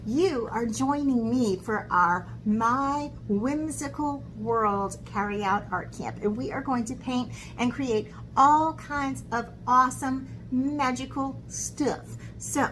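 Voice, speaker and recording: A woman art teacher talking to young children in an expressive, encouraging way, as in a lesson or picture book read-aloud. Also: high voice, slow pace, hardly any pauses, a little hiss or hum, poor audio. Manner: expressive, encouraging talk aimed at young children, with dramatic gasps.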